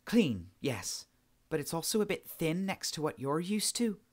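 Man acting woman adventurer, lifted pitch, blunt, friendly, informal, audiobook character. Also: big pitch swings, unhurried. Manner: informal, plain-spoken.

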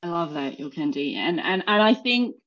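A woman's voice: low voice, big pitch swings, moderate pace. A woman hosting an interview over a video call with a headset, moving from scripted introductions into easy conversation. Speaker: host. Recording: slightly dull video call audio.

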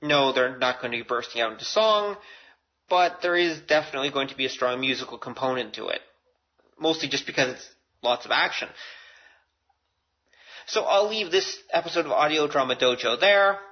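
Male podcast host, casual and self-deprecating, rambling delivery, mid-range pitch, slightly dull sound. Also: moderate pace. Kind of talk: casual solo podcast talk. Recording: dull, lo-fi audio.

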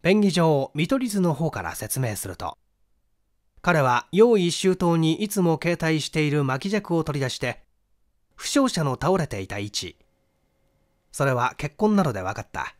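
A man narrating a mystery novel in a theatrical, deliberate storytelling manner. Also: a little high, sing-song. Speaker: audiobook narrator and stage actor.

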